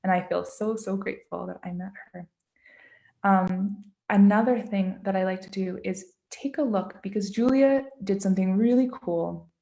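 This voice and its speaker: Woman, mid-pitched creaky voice, children's author, expressive, encouraging, casual question-filled talk to kids, online call. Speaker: children's book author giving a read-aloud. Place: at home, on a video call.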